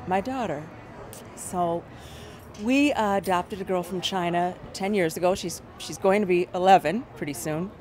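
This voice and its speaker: Hesitant soft-spoken woman singer. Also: fairly low pitch, normal speed, a little hiss or hum. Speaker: singer, guest. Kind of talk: interview conversation.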